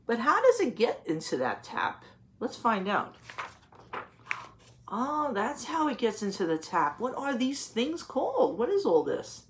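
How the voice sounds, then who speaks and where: A woman teacher reading a picture book aloud to young children, explaining as she goes and pausing to ask questions and confirm answers. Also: on the high side, huge pitch swings, slight background noise.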